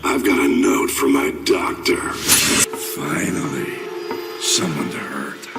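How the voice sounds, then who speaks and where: An actor playing a smug male criminal psychopath with a gravelly, very deep voice and playful menace. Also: slow pace, loud music behind the voice, exaggerated voice.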